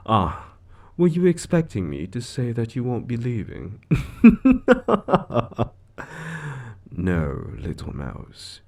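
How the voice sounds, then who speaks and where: A suave devil lord speaks slowly and savors his words in an audio roleplay. His gravelly voice swings widely in pitch, condescending and menacing, as if gloating over a trapped mortal. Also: a little mellow, full character voice. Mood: amused menace. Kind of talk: scripted audio roleplay monologue.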